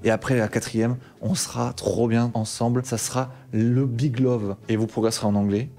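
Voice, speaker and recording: A man with a Bordeaux French accent talks casually to camera as a tech YouTuber. He is animated and slangy, with a mildly exasperated tone. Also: mid-range voice, normal speed, soft background music.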